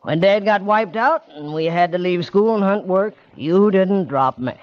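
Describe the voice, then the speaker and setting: An American actor playing a bitter, vengeful bookkeeper in 1940s radio drama, taunting and mocking with quiet menace. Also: male voice, sits high, measured pace. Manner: taunting, mocking, with sly chuckles. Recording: dull, lo-fi old radio recording.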